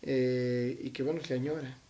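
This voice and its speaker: A man's voice: medium pitch, steady tone, normal speed, dark tone. A middle-aged man with a central-northern Mexican accent, answering as an interview guest in casual conversational recollection. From Zacatecas, Mexico. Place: his own home, indoors.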